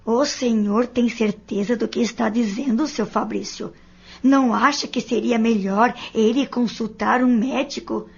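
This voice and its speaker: A woman's voice: fairly high pitch, steady tone, a little slow, creaky, slightly rough, full character voice. A Brazilian woman playing a caring, anxious mother in an audio drama, polite and tender in her concern. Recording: dull lo-fi audio.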